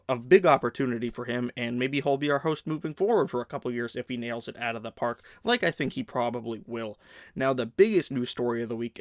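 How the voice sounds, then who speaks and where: A man's voice: mid-range voice, no echo, studio quality. A man hosting a casual movie news show, chatty and opinionated, sounding congested from a sinus infection. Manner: chatty, opinionated, many fillers and restarts. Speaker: host.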